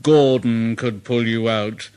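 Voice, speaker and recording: A man voicing a stern, portly railway boss in a storybook reading, speaking in a measured tone. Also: mid-range voice, steady tone, darkish tone, pitched below the performer's own voice, natural voice.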